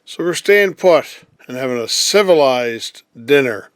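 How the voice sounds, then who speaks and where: Radio drama: commanding, formal rich father played by a man, unyielding, mid-pitched, very dry sound. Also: animated, a little slow, clear, resonant tone, no room sound, close to an everyday voice. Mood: stern, resolute. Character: stern, authoritative wealthy father leading survivors. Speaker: actor in an audio drama.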